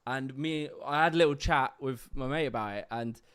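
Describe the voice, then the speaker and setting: A young British host with a mixed multicultural London accent chats in a loose, rambling way, full of fillers and easy laughs. His pitch sits in the middle range for a man. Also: normal speed, fairly bright tone, clear, resonant tone. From Britain. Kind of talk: casual livestream interview.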